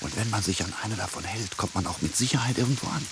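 An actor playing a determined, gruff but placid soldier leading his comrade along in a radio play. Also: fairly low pitch, fast, lightly acted. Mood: calm, reassuring. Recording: hissy, noisy radio play scene with rain.

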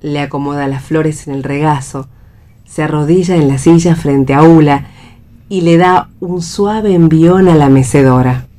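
A woman's voice: sits low, steady tone, unhurried, mellow. A woman reading stage directions as the narrator of a radio play.

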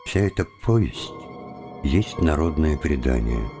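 An extremely deep-voiced man reads a literary introduction as narrator of an audio play. Music plays clearly underneath his scripted delivery. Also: animated, a little slow. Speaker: narrator reading the author's preface.

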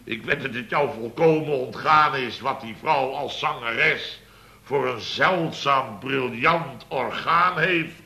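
Sharp, blustering boss. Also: male voice, mid-range voice, takes it slowly, gravelly, reverberant, exaggerated voice. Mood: blustering, sarcastic. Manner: emphatic storyteller, repeats himself, sardonic. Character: blustering, exasperated male boss of a small building firm. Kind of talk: 1970s radio comedy acting. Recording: noisy vintage radio recording.

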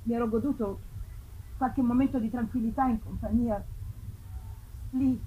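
A woman giving a measured, theatrical literary narration at a live performance. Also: on the high side, steady tone, phone-quality sound. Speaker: reader. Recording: clear background ambience noise.

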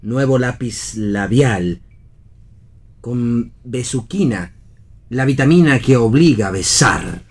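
A laconic man in a radio play. He is wry and unhurried, speaking very slowly at a medium male pitch. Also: lively intonation, breathy edge. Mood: mock advertising. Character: Javier, laconic, wry friend joking as an announcer. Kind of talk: read radio theatre.

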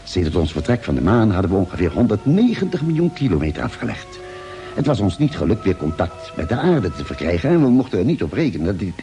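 Calm space doctor, mellow low voice, articulate storyteller, vintage radio play. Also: male voice. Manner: measured, articulate, expressive storytelling. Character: ship's doctor and diarist, calm, genial male space traveller. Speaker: narrator and actor. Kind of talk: Dutch radio drama narration and dialogue.

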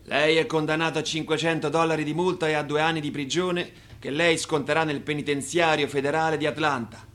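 A man in a radio play voicing a stern, formal, deliberate courtroom judge. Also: on the high side, steady tone, fairly bright tone, close to an everyday voice. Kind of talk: radio theatre acting.

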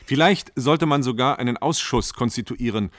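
Actor as formal bureaucrat, dry close recording. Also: male voice, medium pitch, moderate pace, dry recording, natural voice. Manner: stiff, formal. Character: formal, cautious male bureaucrat panellist.

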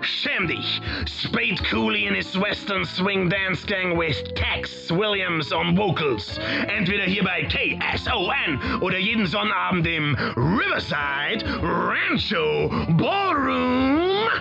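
Teasing radio announcer; high, lively, gravelly voice, muffled. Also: male voice, slow, few pauses, over music, exaggerated voice. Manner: teasing, mocking. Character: mocking male radio announcer.

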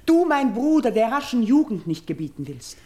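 An actress playing a noble, devout young priestess in a classical radio drama, pleading in solemn, declaimed verse. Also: female voice, fairly high pitch, big pitch swings, normal speed, a little mellow. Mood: urgent, earnest.

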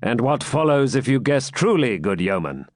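A man voicing a dignified, probing knight in an audiobook, with formal, measured old-fashioned speech. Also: medium pitch, big pitch swings, no room sound, studio quality, natural voice. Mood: courteous. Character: dignified, courteous, probing male champion knight. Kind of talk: audiobook character voice.

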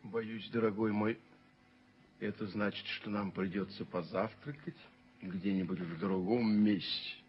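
An actor playing a courteous, rueful gentleman in a radio play, speaking formally and deliberately. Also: on the low side, steady tone, natural voice. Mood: rueful, dry. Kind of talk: radio play stage acting. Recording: muffled 1970s radio drama recording.

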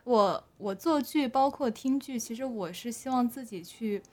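Casting: female drama director with a fairly high voice, chatting off the cuff, halting, with repeated words. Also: darkish tone. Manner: hesitant, often repeating words mid-phrase. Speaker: audio drama director being interviewed. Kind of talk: unscripted casual interview chat.

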